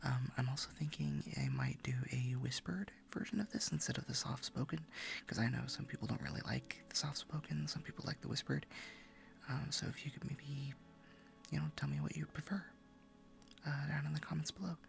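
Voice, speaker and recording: A man speaking softly and informally to his listeners as an ASMR creator, a little hesitant. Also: fairly high pitch, lively intonation, fairly quick, creaky, faint music behind. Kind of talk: soft-spoken casual outro to listeners.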